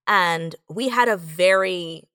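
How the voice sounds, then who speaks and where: A woman chatting casually as a writer on a podcast, telling stories in an animated, self-deprecating way. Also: fairly low pitch, takes it slowly, smooth, dry recording, studio quality. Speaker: audio fiction writer, podcast guest. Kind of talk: casual conversational storytelling.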